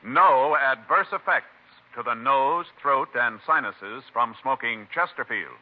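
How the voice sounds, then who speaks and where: A man's voice: mid-range voice, expressive, unhurried. An American man reading scripted radio announcements in a grave, declamatory 1950s style. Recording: muffled vintage radio recording, loud orchestral music.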